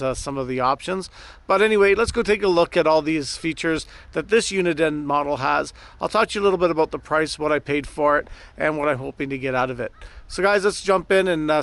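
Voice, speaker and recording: A man's voice: a little high, fairly bright tone. A man hosting a vlog, chatting casually and a bit ramblingly to his viewers as he reviews gear. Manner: chatty, rambling, addresses viewers as guys and gang. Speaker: host of a car gear video series.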